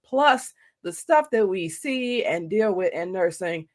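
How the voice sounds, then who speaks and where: A woman's voice: medium pitch, lively intonation, takes it slowly. A woman hosting a podcast interview over a video call from home, affirming her guest with emphatic interjections. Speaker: podcast host, nursing coach. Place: home room, indoors.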